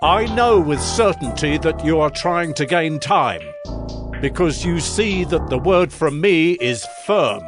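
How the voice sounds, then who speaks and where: A man's voice: a little high, expressive, slow, over music. An actor playing an imperious, threatening king in a dramatized audio Bible, with a commanding, declamatory delivery. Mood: accusing, angry.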